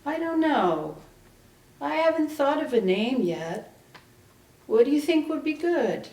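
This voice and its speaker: A woman's voice: sits high, sing-song, a little slow, a little mellow. A woman giving a dramatic reading of fiction as part of a literary ensemble, in a live event room. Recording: roomy, distant microphone in a hall.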